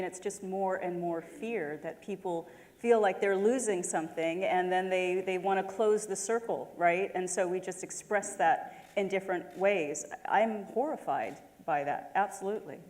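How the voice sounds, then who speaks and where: A woman's voice: lowish voice, moderate pace, darkish tone. A woman speaking as a panelist, earnest and emphatic. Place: large auditorium stage. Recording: echoey hall reverb.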